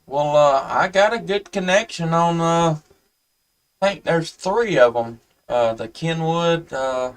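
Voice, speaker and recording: A man chatting casually and haltingly as an amateur radio operator. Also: mid-range voice, clean tone, distant mic. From United States. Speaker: amateur radio operator hosting a live stream.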